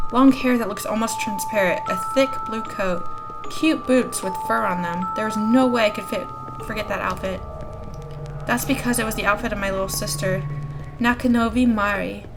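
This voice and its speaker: A woman reading a story aloud expressively as the host of a gaming video. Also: medium pitch. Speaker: YouTube host reading a visual novel aloud. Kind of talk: expressive read-aloud narration.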